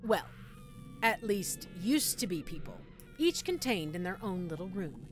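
A woman's voice: medium pitch, sing-song, unhurried, soft background music. A woman playing a sadistic, gleeful mad scientist in an audio roleplay, teasing her captive listener with sweet condescension. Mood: gleeful, proud.

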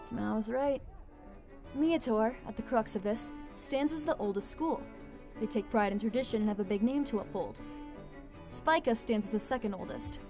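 A woman plays a composed teenage student council member in a fan dub. She explains things calmly, her voice a little high. Music plays clearly behind her. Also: normal speed, natural voice. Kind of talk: amateur anime fan dub.

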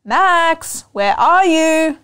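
A woman's voice: extremely high pitch, animated, extremely slow, a bit bright, voice pushed up, exaggerated voice. A woman voicing a schoolboy's firm mother in a children's storybook, in a raised calling voice. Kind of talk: children's storybook read-aloud.

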